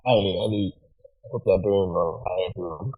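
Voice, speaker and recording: A man who sounds nasal from a cold, calmly explaining steps as a guest on a live audio chat. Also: deep voice, slightly rough, phone-quality sound. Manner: measured, explanatory, with frequent ums. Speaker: guest panelist. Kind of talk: casual discussion.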